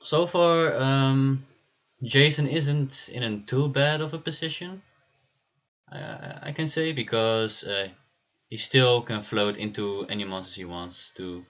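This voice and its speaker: A man hosting a livestream and commentating a card game match, relaxed and laughing easily, with frequent pauses. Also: medium pitch, lo-fi sound. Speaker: stream host and caster.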